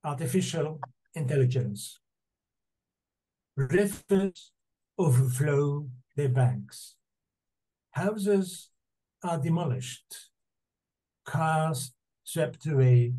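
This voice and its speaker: An older man reads poetry at a very slow, deliberate pace. His voice is mellow and husky, picked up through a computer on a video call. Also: a little high, animated, rough recording. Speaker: poet. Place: book-lined room indoors. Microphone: video call, close to computer.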